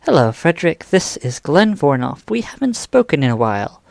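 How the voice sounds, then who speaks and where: A man's voice: on the high side, big pitch swings, normal speed, creaky, dry recording, studio quality. An actor playing a jittery male warehouse night guard in an audio drama. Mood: musing.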